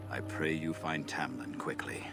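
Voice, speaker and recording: A man playing a kindly, solemn old elven lorekeeper in a fantasy game, speaking in a measured, formal way. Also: a bass voice. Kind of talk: scripted fantasy game acting. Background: game music.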